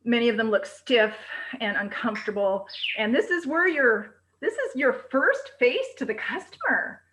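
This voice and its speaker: Woman coach on a zoom call, loud and energetic, crisp bright voice. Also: moderate pace. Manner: loud, projecting, high energy. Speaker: presentation coach. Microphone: video call.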